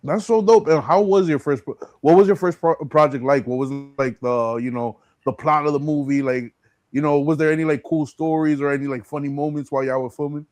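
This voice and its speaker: A man's voice: fairly high pitch, brisk, a little mellow, no echo. A young American man hosting a podcast in a casual, slangy chatting style. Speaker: podcast host.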